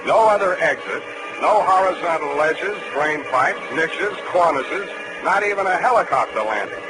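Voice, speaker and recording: Wry male private eye, played by an actor, deadpan wisecracker, 1940s radio recording with loud music behind. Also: fairly high pitch, moderate pace, lightly acted, low-quality recording. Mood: tense, wry. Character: wry, hard-boiled male private detective. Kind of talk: 1940s radio detective narration.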